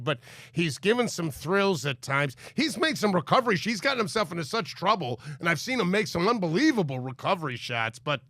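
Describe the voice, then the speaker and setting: A man hosting a sports talk show, congested from a cold, talking in an energetic, emphatic way. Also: mid-range voice, gravelly, dry recording, clean studio sound. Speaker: sports radio host.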